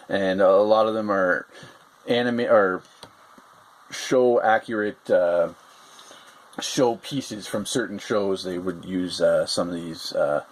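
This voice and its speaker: A man's voice: low-pitched, low-quality recording. A man hosting a casual toy review, talking in a rambling, hesitant way with lots of fillers.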